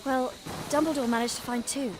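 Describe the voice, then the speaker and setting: A woman's voice: high voice, fairly even intonation, a little fast, background noise, natural voice. A woman playing a caring, sensible, cautious teenage witch with an English accent in a video game.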